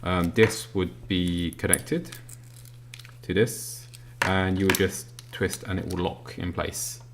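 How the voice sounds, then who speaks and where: A British man casually walking through an unboxing, talking as he handles the items with lots of ums and pauses. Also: low voice, background noise. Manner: relaxed, filler-heavy, talks while handling items. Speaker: product reviewer.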